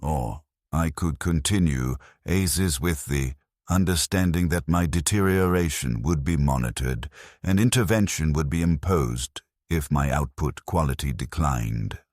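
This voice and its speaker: A man narrating a dark first-person story in a grave, measured, brooding way. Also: extremely low pitch, no echo, clean studio sound.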